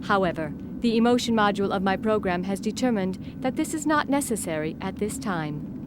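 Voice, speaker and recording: An emotionless female android from a video game, played by a woman, speaks with measured, formal politeness. Every phrase is flat and literal, over clearly audible background noise. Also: medium pitch, natural voice. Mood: impassive, courteous.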